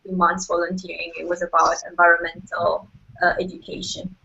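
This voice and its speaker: A woman's voice: fairly low pitch, completely flat delivery, a little fast, gravelly, bright, forceful tone, husky. A woman in her twenties from Italy, an intern, chatting in a friendly way on a group video call. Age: 20s. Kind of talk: casual group video chat. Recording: muffled video call audio.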